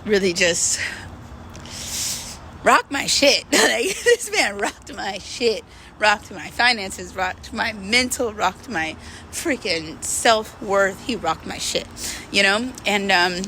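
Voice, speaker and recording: A woman talking in a casual, confessional monologue, candid and halting, repeating words for emphasis. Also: mid-range voice, lively intonation, bright, forceful tone, a little hiss or hum. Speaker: vlogger.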